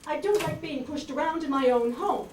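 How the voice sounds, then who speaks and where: A distant, echoey recording with faint hiss carries a wealthy radio-play husband acted by a woman. The character is high-voiced, flustered and indignant. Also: moderate pace, darkish tone, natural voice. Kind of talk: old-time radio drama acting. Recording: roomy, distant microphone, faint noise.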